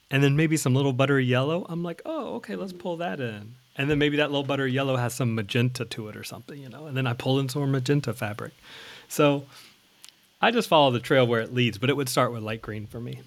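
A man hosting a casual conversation, speaking in a relaxed, easygoing and fluent way. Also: mid-range voice, fairly quick, dry recording.

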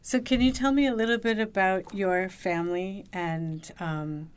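A woman's voice: mid-range voice, steady tone, unhurried, clean tone. A woman hosting an interview podcast, introducing the show and putting questions to her guest in a studio. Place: recording studio.

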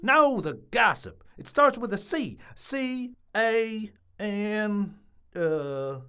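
A woman's voice: mid-range voice, expressive, drawn-out words, fairly bright tone, clear, resonant tone. A woman voicing a nosy, defensive small-town busybody man with a Southern US accent, in a comic audio drama. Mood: eager, nosy.

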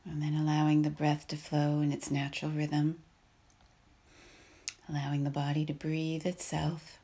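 A woman leading a guided meditation in a soft, breathy, husky voice, calm and measured, leaving long pauses between phrases. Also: sits low.

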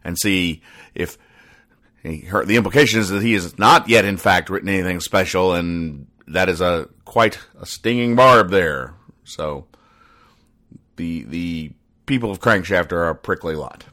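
Deadpan man with a very low voice. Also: a little slow. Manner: deadpan, pedantic, over-explaining. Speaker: podcast host. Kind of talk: deadpan comedy explainer commentary.

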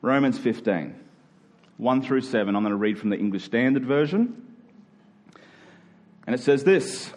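An Australian man preaching a sermon as a church pastor, earnest and emphatic with deliberate phrasing. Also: medium pitch, animated, a little mellow.

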